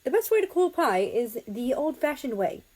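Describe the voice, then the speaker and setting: A woman's voice: sits high, sing-song, moderate pace, a little mellow, pitched above the performer's own voice. A feisty young woman from a storybook , acted out by a woman reader. She is playful and informal, putting emphasis on her words in a slightly raised voice. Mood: huffy, insistent.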